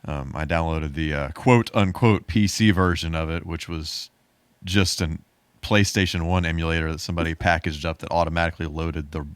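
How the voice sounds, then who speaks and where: Deep-voiced man in casual chat. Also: steady tone, normal speed, clear, resonant tone, no echo. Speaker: podcast co-host. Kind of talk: casual chat, personal anecdote.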